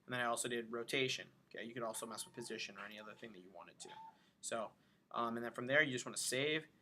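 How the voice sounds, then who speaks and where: Bright-voiced man narrating a casual tutorial. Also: medium pitch, quick pace, slight background noise. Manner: informal, thinking aloud while demonstrating steps. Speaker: animation instructor.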